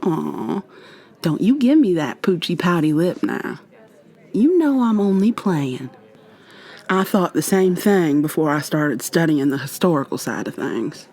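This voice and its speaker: Slow, husky, playful witch played by a woman. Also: on the low side, expressive, a little mellow. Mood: teasing. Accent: American. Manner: teasing, affectionate, conversational with trailing pauses. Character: playful, flirty modern witch mentoring a new coven member. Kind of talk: intimate ASMR roleplay addressed to the listener.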